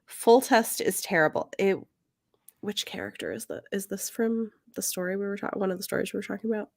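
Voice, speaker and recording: A woman co-hosting a podcast, chatting casually about books in an informal, halting way as she thinks aloud. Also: medium pitch, vocal fry, no room sound, studio quality. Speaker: podcast co-host. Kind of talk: casual book discussion chat.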